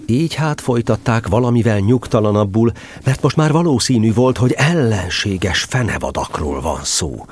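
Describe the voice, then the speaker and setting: A man narrating a children's story as a scripted, expressive storyteller. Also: on the low side, a little hiss or hum.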